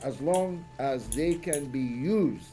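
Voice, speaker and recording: A man's voice: mid-range voice, animated, slow, mellow, faint music behind. An older man giving political commentary as an interview guest, speaking emphatically with rhetorical lists and questions.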